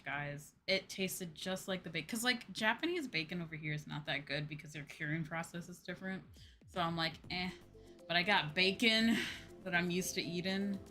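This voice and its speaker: A woman chatting casually as a livestream host while gaming, expressive and free with swearing. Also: fairly low pitch. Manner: expressive, swears freely, mock-grumbling asides. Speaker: livestream host, virtual streamer. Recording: game music behind the voice. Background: video game music.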